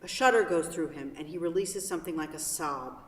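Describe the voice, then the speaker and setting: A woman's voice: low-pitched, fairly quick. A woman reading stage directions as scripted narration for a play. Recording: very echoey hall from far off.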